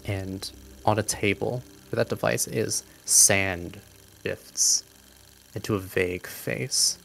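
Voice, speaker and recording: A man narrating a tabletop game as game master in a dramatic, deliberate style, pausing mid-sentence for suspense. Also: lowish voice, fairly even intonation, creaky, a bit bright, a little hiss or hum.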